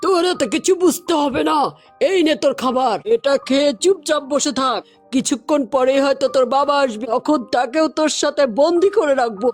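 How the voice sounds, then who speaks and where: A woman's voice: high voice, moderate pace, faint music behind, lightly acted. A woman voicing a vengeful, threatening male demon in a children's cartoon, commanding and menacing. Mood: angry, scornful.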